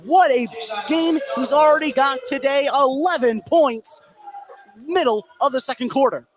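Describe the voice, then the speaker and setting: High-voiced man calling live basketball, noisy background. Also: a little slow. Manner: excitable, calling plays as they happen. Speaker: play-by-play sports announcer. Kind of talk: live basketball radio commentary. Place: indoor basketball game, live. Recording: noisy live gym broadcast.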